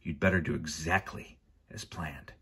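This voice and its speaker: A man's voice: extremely low pitch, steady tone, normal speed, fairly bright tone, husky, full character voice. A man voicing a menacing, bony henchman in a storybook, curt and threatening. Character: menacing bony henchman, bald with a long nose.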